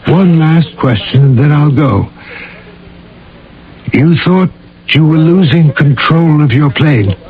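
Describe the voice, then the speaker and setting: An actor playing a grave, concerned government man in a radio drama, speaking in a measured, solicitous way. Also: a little high. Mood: soothing. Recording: tinny old radio broadcast.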